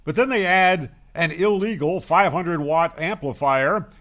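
An older man, in his sixties or beyond, presenting a conversational tutorial in a plain-spoken, emphatic way, pausing to stress key words, close on a microphone in a small home room. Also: on the high side, fairly bright tone. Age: 60s or older. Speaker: presenter of a ham radio channel. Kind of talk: explanatory tutorial to camera, conversational. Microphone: large broadcast microphone close up on a boom.

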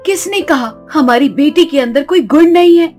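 A woman's voice: sits high, moderate pace, a little mellow, faint music behind, lightly acted. A woman voicing a confident, protective mother, firm and reassuring, in a moral story. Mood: determined.

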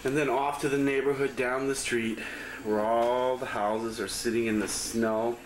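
A man's voice: mid-range voice, fairly even intonation, measured pace. A man, a father filming at home, giving a joking mock tour-guide commentary of the kitchen and yard. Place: kitchen of a house. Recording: echoey home camcorder audio.

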